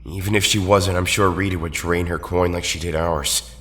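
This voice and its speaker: An actor playing an anxious, cautious superhero teammate in an audio drama. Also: male voice, low-pitched, steady tone, moderate pace, a bit bright. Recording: long reverb.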